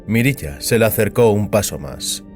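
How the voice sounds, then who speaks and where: Low-voiced male story narrator. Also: lively intonation, moderate pace, darkish tone, soft background music. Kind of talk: scripted audiobook narration of science fiction in Spanish.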